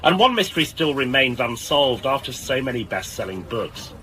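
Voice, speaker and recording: Male radio culture host: erudite, rhetorical, animated, crisp forward tone. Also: medium pitch, rough recording. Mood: presenting. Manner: articulate, rhetorical, deliberate. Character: erudite, measured male radio arts presenter. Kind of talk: scripted broadcast introduction and interview.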